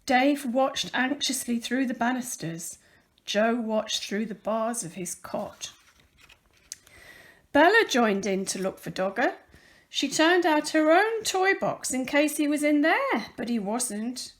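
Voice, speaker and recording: Female children's story reader: high-pitched voice, unhurried pace, expressive and deliberate delivery. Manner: deliberate, careful storytelling with dramatic emphasis. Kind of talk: expressive read-aloud of a children's picture book.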